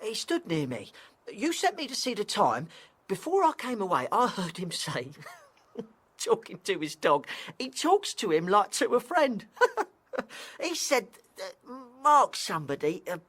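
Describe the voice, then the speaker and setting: A woman's voice: mid-range voice, big pitch swings, measured pace, fairly bright tone, husky, heavily acted. A woman playing a nervous, chatty young apprentice boy in a period audio drama, hesitant and deferential.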